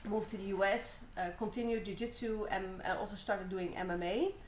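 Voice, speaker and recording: A woman's voice: mid-range voice, a little fast. Young woman from the Netherlands, guest fighter, easygoing rambling talk, roomy echo, faint background hum. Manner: relaxed, rambling storytelling with many fillers. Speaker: guest, Muay Thai fighter. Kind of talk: casual interview answers.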